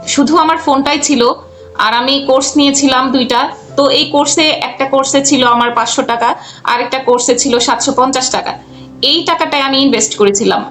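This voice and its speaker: A woman answering interview questions conversationally, halting and restarting her phrases as she explains. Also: high voice, fairly bright tone. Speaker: guest, freelance cartoon voice artist and animator.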